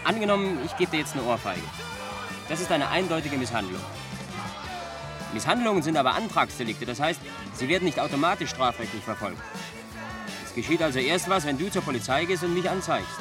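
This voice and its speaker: An actor playing a condescending, pedantic young know-it-all who lectures in a German radio play. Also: male voice, a little high, very animated, a little fast. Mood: lecturing. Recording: music behind the voice.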